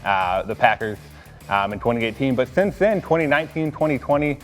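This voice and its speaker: American man, sports analyst, casual discussion, medium pitch, long reverb, music underneath. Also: a little fast. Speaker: team reporter and sports analyst.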